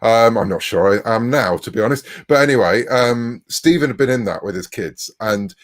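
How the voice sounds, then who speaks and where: A man's voice: medium pitch, expressive, moderate pace. A man from Bristol with an English accent, chatting casually as an interview guest in a wry, self-deprecating way. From Bristol, England. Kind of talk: casual anecdotal chat.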